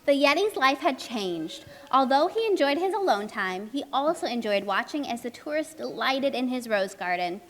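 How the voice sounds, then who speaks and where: A woman's voice: high-pitched, moderate pace, few pauses. A woman narrating a children's puppet show live, expressively, speaking directly to young children. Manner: expressive, addresses young children with simple questions. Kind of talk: children's storytelling to a live audience. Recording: echoey hall, live show.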